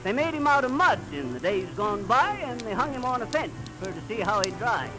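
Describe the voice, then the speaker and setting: High swoopy hick know-it-all husband, blustery bad grammar, old 1930s radio comedy. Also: male voice, very animated, brisk, over music, poor audio. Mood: indignant, boastful. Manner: rustic, ungrammatical, blustering speech. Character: folksy, boastful, argumentative small-town know-it-all husband. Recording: vintage 1930s radio recording.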